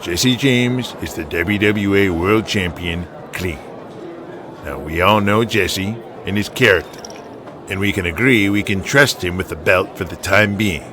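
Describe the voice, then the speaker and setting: A man's voice: low voice, background noise. Man playing a firm, weary older chairman. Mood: commanding. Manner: measured, firm, deliberate. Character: authoritative wrestling alliance president, older, weary and firm.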